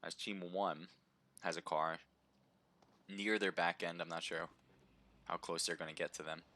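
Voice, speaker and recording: Brief: man, deep bright voice, slightly hoarse, upbeat livestream game caster calling the action and greeting viewers. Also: level tone, measured pace. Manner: chatty, upbeat, reads viewer names aloud. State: slightly hoarse, throat sore. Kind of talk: live esports-style play-by-play commentary.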